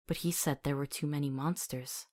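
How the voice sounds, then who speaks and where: A woman's voice: low-pitched, steady tone, moderate pace, darkish tone. A woman playing a compassionate, earnest and stubborn companion to a monster hunter in a visual novel. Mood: gentle, soothing.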